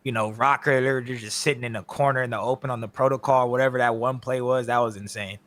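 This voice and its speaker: A man co-hosting an esports podcast with a slightly hoarse, raspy voice, laid-back and slangy but energetic. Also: mid-range voice, fast. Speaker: co-host. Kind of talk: casual esports podcast chat.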